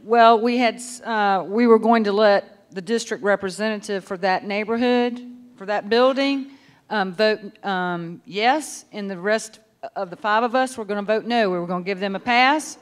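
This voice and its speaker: A woman's voice: mid-range voice, normal speed. Hesitant woman commissioner explaining a vote. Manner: hesitant, with many fillers. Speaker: county commissioner, workshop participant. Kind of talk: explaining a group decision. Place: conference room.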